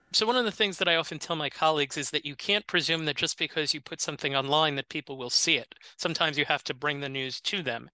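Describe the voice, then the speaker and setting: A man hosts an interview and asks his questions in a plain, measured way. His voice is very bright and crisp. It sounds dry, with no room echo. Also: on the high side. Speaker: podcast host.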